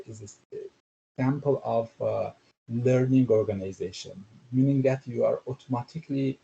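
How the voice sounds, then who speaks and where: Gravelly, hesitant middle-aged man. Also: medium pitch, warm tone, muffled recording, slight background noise. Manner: hesitant, frequent fillers and "do you know". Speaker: former university professor, education graduate student. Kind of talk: casual academic discussion.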